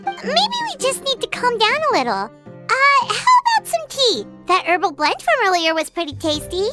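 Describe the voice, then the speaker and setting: A squeaky, childlike floating fairy sidekick, chattering in a video game. Her voice sits extremely high and leaps all over the place, bubbly and restless, over soft game music. She talks about herself in the third person. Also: wildly swooping pitch, moderate pace, full character voice. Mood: coaxing.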